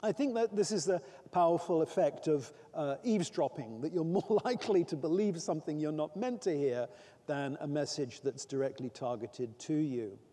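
A middle-aged man giving an academic keynote lecture from a lectern. He speaks in a thoughtful, measured way with frequent pauses. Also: on the high side, dark tone. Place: large conference hall, at a lectern. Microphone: lectern microphone. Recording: reverberant hall sound.

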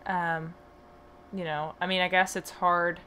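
A woman's voice: on the low side, level tone, a little slow, bright, forceful tone, slight background noise. A woman hosting a podcast about her horses, talking casually with plenty of hesitant fillers. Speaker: podcast host and horse owner. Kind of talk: casual conversation.

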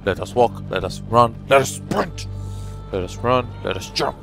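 A man's voice: mid-range voice, animated, moderate pace, creaky, music in the background. A man hosting a gaming video with casual, goofy commentary, often repeating himself playfully. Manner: goofy, repeats and echoes lines.

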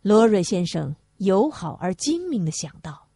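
A woman reads literary prose as an audiobook narrator. Her pitch moves expressively across each sentence, and her voice has a husky edge. The sound is dry, with little room to it. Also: very animated, a little slow, warm tone, no room sound.

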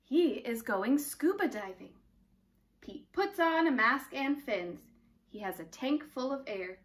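A young woman reading a picture book aloud to small children in an expressive, dramatic way. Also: high voice, slow pace. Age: young adult. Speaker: children's story time reader. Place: living room indoors, by a brick fireplace.

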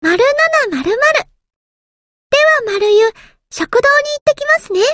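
A woman's voice: very high-pitched, very animated, normal speed, a bit bright, heavily acted. A woman voicing a small, timid, earnest anime game submarine girl, with a thin childlike voice and a hesitant, polite manner. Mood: dutiful, polite. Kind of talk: anime game character voice lines.

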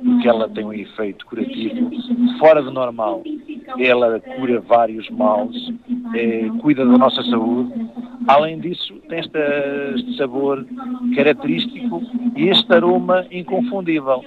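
A man in his fifties, a town mayor, interviewed outdoors at a fair with a handheld mic, talking in a lively way. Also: mid-range voice, normal speed. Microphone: handheld mic held close. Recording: thin phone-like sound, crowd behind.

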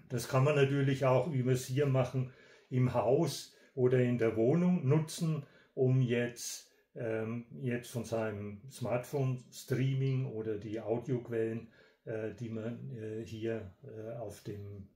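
An older man in his sixties or beyond giving an explanatory technical demonstration in a halting, deliberate manner, with frequent hesitations. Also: mid-range voice, warm tone. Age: 60s or older. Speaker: presenter of a technical radio channel. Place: small indoor room. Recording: echoey room, distant microphone.

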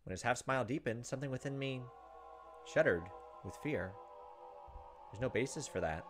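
A man, perhaps in his thirties, reading visual novel narration aloud and commenting, with dramatic, halting delivery. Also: medium pitch, expressive, fairly bright tone. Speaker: gaming video host. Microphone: desk microphone close up. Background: game music.

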